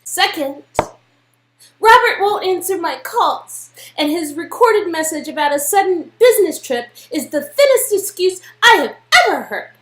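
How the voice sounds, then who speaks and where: A woman performs a distraught, lovesick young woman from a story game. Her voice is extremely high and she presses every point with insistent emphasis. The room adds a noticeable echo. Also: expressive, measured pace, fairly bright tone, full character voice. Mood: indignant. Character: distraught, frantic young woman obsessed with her vanished boyfriend. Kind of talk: amateur dramatic reading of game dialogue.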